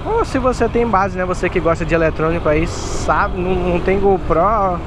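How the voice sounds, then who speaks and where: Slangy young man riding in loud traffic. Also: sits high, moderate pace. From Manaus, Brazil. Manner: slangy, rambling, addresses viewers as mano and tropa. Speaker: motovlogger delivery rider. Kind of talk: casual chat to viewers while riding. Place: outdoors riding a motorcycle in city traffic. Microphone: helmet-mounted action camera. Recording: loud road and engine noise. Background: traffic and motorcycle engine.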